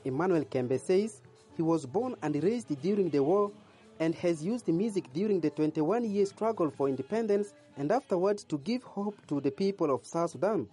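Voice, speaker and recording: A man narrating a scripted radio news report in an even, measured way. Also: high-pitched, slightly rough, soft background music.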